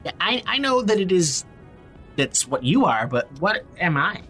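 A man acting in a naturalistic audio drama as a tired, sardonic young fugitive who talks casually and haltingly. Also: sits high, very animated, sharp tone, faint music behind, heavily acted. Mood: bitter, earnest.

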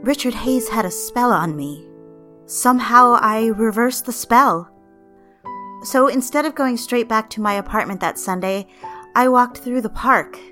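A woman's voice: on the high side, fairly bright tone. A woman narrating a dramatic story in the first person, speaking confidingly and directly to the reader. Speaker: narrator and lead character.

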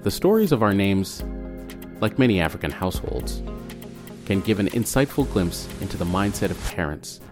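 Low-voiced malawian guy narrating his podcast intro, chichewa his first language, guitar music under it. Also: sing-song, moderate pace. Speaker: podcast host. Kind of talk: scripted narration.

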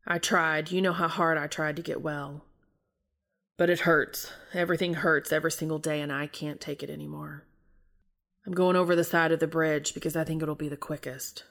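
A woman's voice: sits low, steady tone, normal speed, vocal fry, fairly bright tone. A woman voicing a despairing, sick man, a loving older brother who speaks with weary, tender resignation in an audiobook-style story. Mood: weary, tender grief.

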